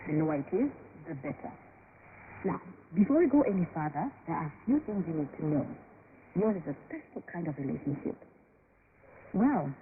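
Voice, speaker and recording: Woman doing a calm lady doctor explaining stuff slowly, radio drama, tinny shortwave with hiss. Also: lowish voice, close to an everyday voice. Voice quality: measured, explanatory. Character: calm female doctor, patient and informative. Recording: noisy shortwave broadcast, tinny band.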